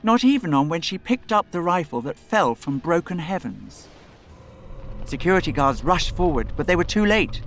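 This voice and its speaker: A man's voice: fairly high pitch, very animated, moderate pace, a bit bright. A man reading a grave science-fiction story as a dramatic scripted narration. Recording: music bed under narration. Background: music.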